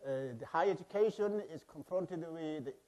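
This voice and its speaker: A man with a Korean accent presents to a conference audience through a podium microphone. He is a bit nervous and pushes quickly through his material. His voice sits somewhat high for a man. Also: dark tone. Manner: says he is nervous, rushes through. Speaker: conference speaker, university president. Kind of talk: presentation talk. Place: large conference hall.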